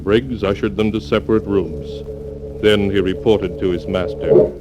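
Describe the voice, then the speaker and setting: A man narrating a 1940s radio drama from a script in a measured, dramatic way. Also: lowish voice, muffled recording. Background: loud music.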